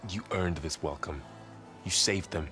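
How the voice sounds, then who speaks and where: A man playing a loyal young warrior companion in a video game, with a gently persuasive manner. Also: sits low, a little slow. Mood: supportive. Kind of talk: dramatic video game acting. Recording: music behind the voice.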